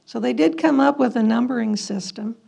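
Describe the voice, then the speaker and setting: Female history presenter, gravelly and fairly high-pitched, informal, slightly hesitant explanatory talk. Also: fairly quick, mellow. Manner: hesitant, with frequent throat clearing. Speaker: presenter commenting on historical letters. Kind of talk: informal historical narration to an audience.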